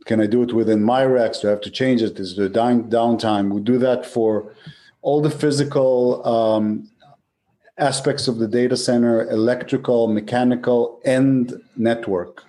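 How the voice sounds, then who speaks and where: Mellow-voiced male executive from Israel. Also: mid-range voice, steady tone, normal speed. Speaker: data center company executive. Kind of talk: interview answers.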